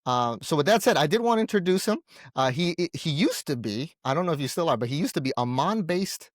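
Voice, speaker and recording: Higher-voiced man hosting an interview show. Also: lively intonation, a little fast, no room sound, clean studio sound. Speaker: show host interviewing a guest. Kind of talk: conversational show introduction and interview questions.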